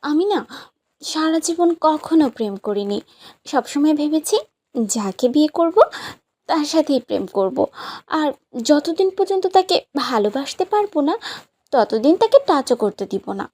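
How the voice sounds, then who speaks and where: A woman's voice: high voice, lively intonation, unhurried, long pauses, dark tone. A woman playing a playful, sweetly bossy young new bride in a romantic audio story, with a pouty, teasing, insistent manner.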